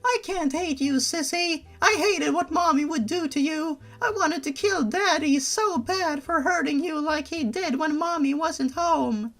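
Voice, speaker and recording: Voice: high-pitched, measured pace, few pauses, a bit bright, voice pushed up. A performer voicing a small, tearful six-year-old girl with a pleading, childlike manner in a read-aloud story. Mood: plaintive.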